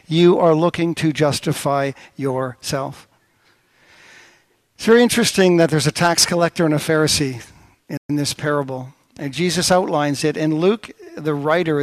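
Middle-aged male pastor, fairly high voice, storytelling sermon, dry close sound. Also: no room sound. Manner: storytelling with lively pitch swings.

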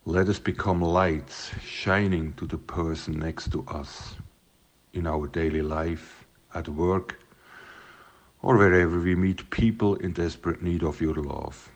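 A man's voice: low-pitched, steady tone. A man in his fifties from Switzerland with a Swiss accent, giving a friendly greeting and a prayer. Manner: friendly, unhurried. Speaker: guest sending a video greeting.

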